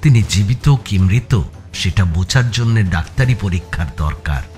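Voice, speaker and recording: A man's voice: fairly low pitch, lively intonation, normal speed, a little mellow, soft background music. A man with an Indian Bengali accent giving a dramatic first-person audiobook narration of a story.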